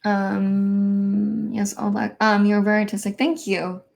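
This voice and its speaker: A young woman from Kansas hosting a casual livestream, reading viewer comments and answering in a soft, hesitant way with many ums and likes. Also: mid-range voice, little pitch movement, slow, vocal fry. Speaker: livestream host.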